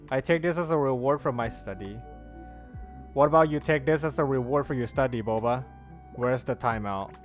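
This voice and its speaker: A man's voice: medium pitch, moderate pace. Guy streaming a game, chatting w viewers, friendly hellos, faint music in the back. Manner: friendly greetings to viewers by name. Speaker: game livestreamer. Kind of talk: casual chat with stream viewers, reading chat aloud. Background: soft background music.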